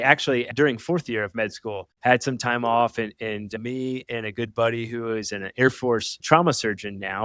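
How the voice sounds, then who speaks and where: An upbeat man hosts a casual podcast chat, piling on enthusiasm and warm praise. His mid-range voice sits close to the microphone in a dry, dead-sounding space. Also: mid-range voice, clean tone, dry recording. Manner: enthusiastic, chatty, many "awesome" and "super cool". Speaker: podcast host.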